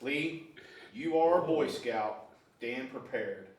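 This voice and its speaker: A man's voice: medium pitch, animated, takes it slowly, a little hiss or hum. Sheepish hungover fishing buddy read by a man, wry grumble, distant from the mic, amateur read. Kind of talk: amateur table read of a comedy screenplay. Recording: very echoey room, far from microphone.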